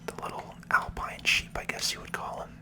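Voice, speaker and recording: Whispered ASMR gaming commentary. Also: normal speed. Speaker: video game playthrough host.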